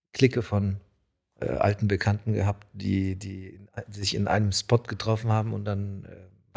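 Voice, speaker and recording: A man from Poland, a guest musician in a studio podcast, speaking casually in halting phrases with fillers. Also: fairly low pitch, vocal fry. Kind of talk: casual interview talk.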